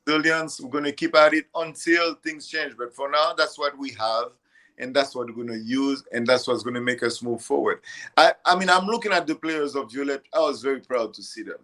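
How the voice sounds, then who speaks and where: A man's voice: medium pitch, fairly quick, pushed and bright. A middle-aged man, a podcast guest, speaking earnestly and encouragingly with a few stumbles. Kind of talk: casual podcast chat.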